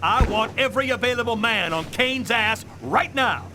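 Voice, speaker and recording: High-strung, irate police captain. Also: male voice, expressive, normal speed, background noise. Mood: furious. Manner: barking, sarcastic, demanding. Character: irate, blustering police captain, a man, gruff and sarcastic. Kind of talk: dramatic video game acting.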